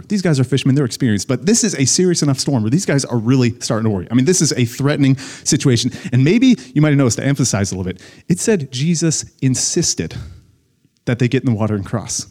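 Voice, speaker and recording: A man's voice: medium pitch, a little mellow. An American man, a guest pastor, preaching conversationally to students in a casual, joking way with youthful slang. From United States, Illinois.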